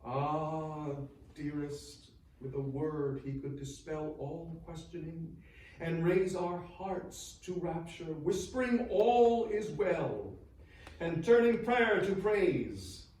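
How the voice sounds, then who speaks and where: Slow, high-pitched grieving churchman, echoing. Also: a little mellow, slight background noise. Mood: yearning. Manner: solemn, declamatory, lingering on phrases. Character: grieving churchman bidding a dear lost friend farewell. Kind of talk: dramatic stage recitation of verse and sermon. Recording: distant, very echoey live hall sound.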